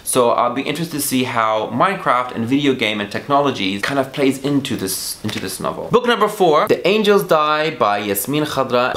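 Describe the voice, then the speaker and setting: A man's voice: medium pitch, fairly bright tone, reverberant. A man who speaks Arabic as a first language, chatting casually to camera about books he bought, enthusiastic and a little hesitant with small hedges. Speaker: book vlogger. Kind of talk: casual book haul chat to camera.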